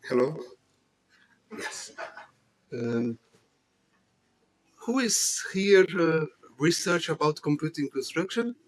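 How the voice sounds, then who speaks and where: A man's voice: on the high side, very animated, poor audio. A jet-lagged man from Chile, chairing a committee and speaking at a conference with many hesitations and fillers. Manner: halting, many fillers, non-native phrasing. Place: conference hall.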